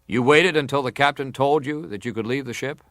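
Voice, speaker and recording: A persistent senator , played by a male actor, questions a witness in a dramatized hearing. His delivery is formal and deliberate at a medium pitch, recorded close and dry. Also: a bit bright, dry recording, close to an everyday voice. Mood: probing, formal. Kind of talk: dramatized reading of hearing testimony.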